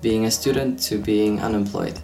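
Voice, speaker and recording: A man's voice: lowish voice, normal speed, gravelly, a little mellow, faint music behind, poor audio. A young man from Poland, hosting a podcast discussion and talking hesitantly with many fillers and restarts. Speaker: podcast host.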